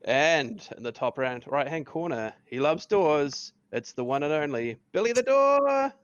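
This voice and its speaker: A man hosting a podcast in an excitable, hyped-up way, drawing words out as he makes casual introductions. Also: a little high, a little slow, no room sound, highs cut off. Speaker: podcast host.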